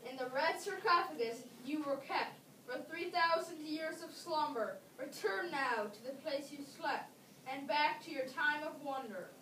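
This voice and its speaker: A female reader playing a resourceful, excitable schoolboy in an amateur readers' theater performance. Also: high-pitched, measured pace. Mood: solemn chanting. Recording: distant mic in echoey, slightly noisy room.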